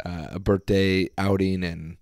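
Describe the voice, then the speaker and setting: A man hosting a podcast, talking casually and hoarsely with his voice nearly gone, rambling with fillers and restarts. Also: sits low, flat delivery, measured pace, a little mellow, no echo, studio quality. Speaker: podcast host. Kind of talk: casual solo podcast talk.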